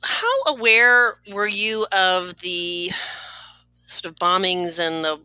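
A woman hosts an interview in a very bright, forward voice. Her sound is clean and smooth, and she speaks informally, chatting her way through questions and introductions in a fairly low register. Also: animated, takes it slowly. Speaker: interview host. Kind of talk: conversational interview.

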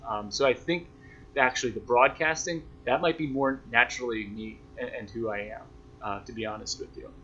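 A man's voice: medium pitch, normal speed, bright, forceful tone. A young man answering interview questions in a reflective, self-deprecating, conversational way, as a sports broadcaster. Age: young adult. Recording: dull lo-fi sound, noisy background.

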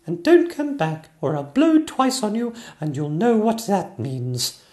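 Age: child. Figure: a man playing a young girl princess, clever and earnest. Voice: high and squeaky, swooping about. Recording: roomy echo. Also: sing-song, moderate pace, dark tone. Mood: triumphant.

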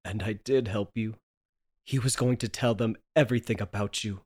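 A man's voice: lowish voice, moderate pace, natural voice. A man playing a frantic, guilt-ridden husband who dotes on his wife in a melodramatic, pleading horror radio play. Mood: hushed, obsessive. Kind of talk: 1930s horror radio play table read.

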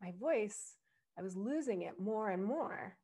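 A young adult woman hosting a solo podcast. She explains things in a reflective way, laughs now and then, and her voice is slightly husky from strain. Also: mid-range voice, expressive, unhurried, mellow. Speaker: podcast host.

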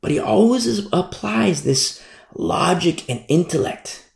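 A man preaching with a lively, swooping delivery and a gravelly edge to his somewhat high voice. He repeats key words again and again and acts out the people he talks about. The sound carries the echo of a roomy space. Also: measured pace. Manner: animated, repetitive preaching with mock quotes. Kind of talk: sermon. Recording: echoey room sound.

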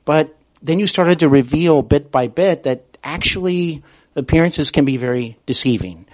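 A man hosting an interview show, speaking earnestly in a halting way as he introduces and questions his guest. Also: fairly high pitch, no room sound. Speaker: podcast host.

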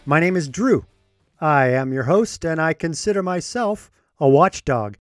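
A man hosts his podcast alone, his voice a little congested and his throat needing clearing now and then. He talks earnestly and with indignation, his pitch sitting a touch above the usual for a man. The sound is dry and close, with no room around it. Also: animated, unhurried, no echo, faint music behind. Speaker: podcast host. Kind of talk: solo podcast commentary.

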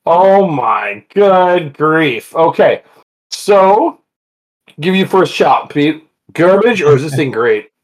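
A man's voice: on the high side, very animated, slow. A man hosting a podcast, chatting casually and enthusiastically, laughing often as he talks with his co-host online. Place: home, talking online.

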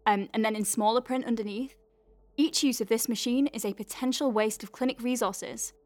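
A woman's voice: a little high, steady tone, normal speed, close to an everyday voice. A woman playing a friendly, wry doctor at a space clinic in an audio drama, speaking in a hesitant, conversational way. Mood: sheepish, wry. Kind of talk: naturalistic audio drama acting.

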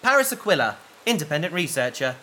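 Man playing an earnest, bright-voiced space researcher. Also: fairly high pitch, big pitch swings, fast, a little hiss or hum. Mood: earnest, hopeful. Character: earnest, naive human male space researcher. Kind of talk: sci-fi audio drama acting.

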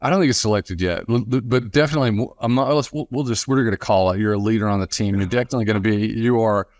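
A man hosting a casual sports podcast interview, speaking haltingly and often repeating words as he restarts. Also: fairly low pitch, a little mellow, no echo, clean studio sound.

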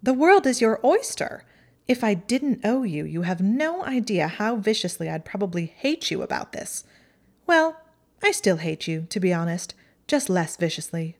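A woman voicing a haughty, sharp-tongued teenage witch girl in a storybook reading, with a mocking, high-reaching delivery. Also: big pitch swings, moderate pace. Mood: sardonic. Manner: mocking, acidic, voice rises high.

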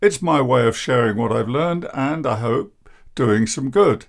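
Deep croaky-voiced older man. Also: expressive. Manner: downbeat, measured and self-deprecating. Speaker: podcast host, therapist and coach. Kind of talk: reflective podcast monologue.

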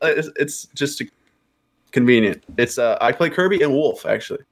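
A man's voice: medium pitch, expressive, normal speed. A man in his early twenties chatting casually as a podcast guest, laid-back and full of slang. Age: 20s. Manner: laid-back slangy banter, says bro a lot. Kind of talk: casual chat.

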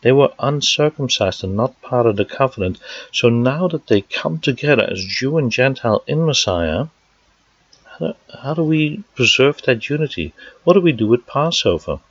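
A man from the Netherlands with a Dutch accent, preaching a sermon and working through scripture verse by verse, pausing with fillers as he explains. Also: mid-range voice, expressive, husky, no echo. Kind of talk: sermon teaching. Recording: dry, dull lo-fi sound.

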